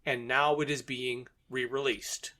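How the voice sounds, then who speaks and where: A man's voice: mid-range voice, flat delivery, a little slow, pushed and bright. A man co-hosting a podcast, retelling a story step by step in conversation and often chuckling. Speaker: podcast host recapping a radio drama. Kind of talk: episode recap in conversation.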